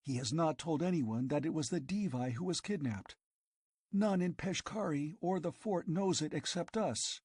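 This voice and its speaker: A man's voice: on the high side, lively intonation, normal speed, darkish tone, breathy edge, no echo, studio quality, voice pushed up. A man reading an audiobook, voicing a fierce, passionate young woman who pleads urgently and breathlessly. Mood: passionate urging. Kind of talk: audiobook character voice.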